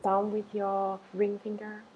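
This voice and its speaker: A woman teacher leading a guided meditation in a calm, unhurried manner, giving simple instructions with gentle pauses. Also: mid-range voice, muffled recording, a little hiss or hum.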